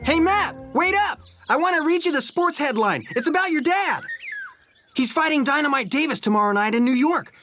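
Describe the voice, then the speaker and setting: A woman voicing an eager young male college buddy who talks in an excited, gushing way. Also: normal speed, soft background music. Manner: excited, gushing, high and bouncy.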